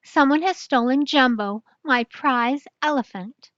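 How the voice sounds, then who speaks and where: A woman's voice: high-pitched, a little slow, a bit bright, no room sound. An older woman voicing a worried, pleading male king in a children's storybook. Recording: dull lo-fi dry recording.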